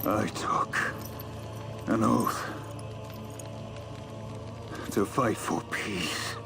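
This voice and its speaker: A weary, honorable warrior in a video game scene. His voice is deeply gravelly and very slow, solemn and halting, with music underneath. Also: exaggerated voice. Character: weary, solemn warrior bound by an oath of peace. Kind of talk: video game cutscene acting.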